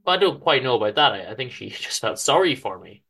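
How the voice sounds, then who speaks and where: A bright, forward voice for a mild, wistful storybook husband, acted by a man. He stammers and hesitates, chatting on in a mopey but gentle way. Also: medium pitch, sing-song, fast talker, creaky, breathy edge, exaggerated voice. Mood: gentle, sheepish. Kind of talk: amateur dramatic story reading.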